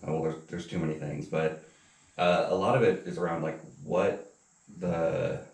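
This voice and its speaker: A man with a low voice asks questions in a casual, rambling way. He is hesitant and often trails off, and he sounds a little away from the mic in a roomy space. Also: fairly even intonation, moderate pace, darkish tone, recorded from a distance. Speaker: interviewer. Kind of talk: casual chat.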